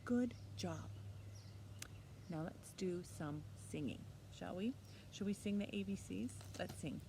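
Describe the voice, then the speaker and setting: A woman's voice: fairly low pitch, lively intonation, unhurried, vocal fry, noisy background. A woman presenting a children's storytime lesson, encouraging young listeners with simple step-by-step instructions.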